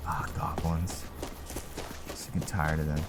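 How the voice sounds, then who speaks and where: A man's voice: extremely low pitch, little pitch movement, slow pace, heavy background noise. A man giving casual commentary on his own video game playthrough, thinking aloud with mild exclamations. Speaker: gamer narrating own playthrough. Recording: loud game music under voice. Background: loud video game music.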